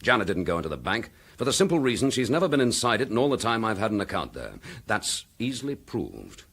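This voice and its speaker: A dogged, defiant detective under accusation in a radio drama. His voice is low and husky, with lively movement in pitch. He speaks firmly and in measured phrases, and he holds his ground. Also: heavily acted. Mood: confident. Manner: firm, measured and indignant. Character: accused male chief inspector, dogged and defiant. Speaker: police detective.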